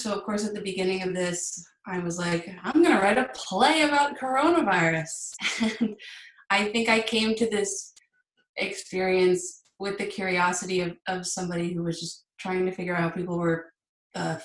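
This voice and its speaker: A woman's voice: medium pitch, reverberant, low-quality recording. A young woman guest telling a story in casual chat, with restarts and small laughs, recorded over a remote call. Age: young adult.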